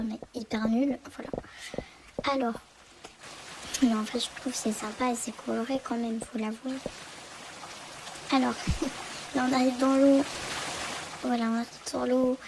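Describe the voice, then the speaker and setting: Young french girl, hoarse and kinda whispery, rambling game tour, highish voice, hiss in the background. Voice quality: often half-whispered, childlike voice. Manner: rambling, hesitant, many fillers and restarts. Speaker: game channel host. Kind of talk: casual game tour commentary.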